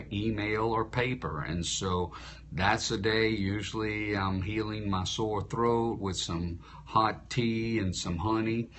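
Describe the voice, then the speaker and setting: A man's voice: low voice, fairly bright tone, a little hiss or hum. A man who works as an insurance entrepreneur and speaker, coaching viewers live and often asking rhetorical questions, tired after a long day. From Louisiana. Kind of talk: live-stream talk to viewers. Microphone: phone.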